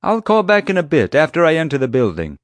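A man's voice: medium pitch, lively intonation, moderate pace, no echo, voice pushed up, natural voice. A man voicing a wry, defiant male animal-security consultant with a sly streak in an audiobook. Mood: mock innocent. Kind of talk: audiobook character dialogue.

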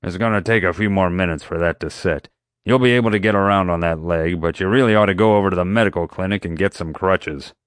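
A man puts on a gruff, crotchety animal-park vet, prickly and quick to growl back. He speaks a little higher and louder than the narrator's own low voice, close and dry. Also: no echo, natural voice.

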